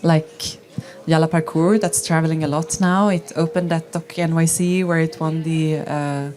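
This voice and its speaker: Woman with a lowish voice presenting a fund's work on a panel, steady and informative. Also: moderate pace, slight background noise. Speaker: head of documentary at a regional film fund. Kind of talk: panel talk.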